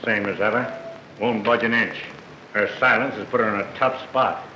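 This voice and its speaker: A man's voice: on the low side, steady tone, normal speed, pushed and bright. A man playing a determined, scheming defense lawyer in old film drama style. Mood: urgent, determined. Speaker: actor playing a defense attorney. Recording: dull, roomy old film soundtrack, faint noise.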